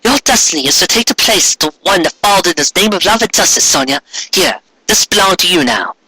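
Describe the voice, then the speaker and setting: Guy doing a girly talking cat, anime guardian type, high n bright, coaxing and dramatic. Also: animated, fairly quick, slightly rough, no room sound, heavily acted. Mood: solemn, commanding. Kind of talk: mocking fan fiction read-aloud.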